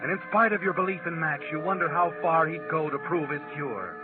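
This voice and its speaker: A man performs an ominous, all-knowing storyteller from a vintage radio mystery. The voice is fairly high for a male and very muffled, with music playing behind it. The delivery is deliberate and insinuating. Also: close to an everyday voice. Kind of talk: 1940s radio mystery narration.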